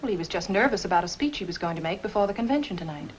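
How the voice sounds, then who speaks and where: Woman acting an earnest nurse, restrained, polite, slightly low pitch, 1950s crime drama, faintly noisy old soundtrack. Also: animated, very fast, natural voice. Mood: earnest, subdued. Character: earnest, composed female dental nurse. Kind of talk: 1950s film noir acting.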